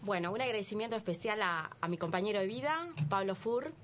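A woman with an Argentine accent, a runner guest on a radio show, warmly thanking people in turn. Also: medium pitch, expressive, fast talker, a little hiss or hum. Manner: warm thanks, listing names. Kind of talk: on-air shout-outs.

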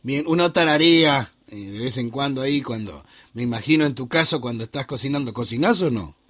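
A man around fifty with an Argentine Spanish accent hosts a casual interview. He is mid-pitched and feels his way into questions, often doubling words. The sound is dry, with hardly any room on it. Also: lively intonation, a little fast, fairly bright tone, no room sound. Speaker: radio interviewer, host.

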